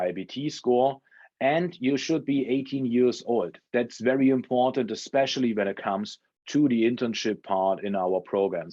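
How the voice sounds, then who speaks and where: A man with a mid-range voice explains things as an academy's guest speaker. His phrasing is careful and measured, in an informational interview style. The recording sounds a little muffled and lo-fi. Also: mid-range voice, dark tone. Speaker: culinary school representative, guest speaker. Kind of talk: informational interview answers at an education fair talk.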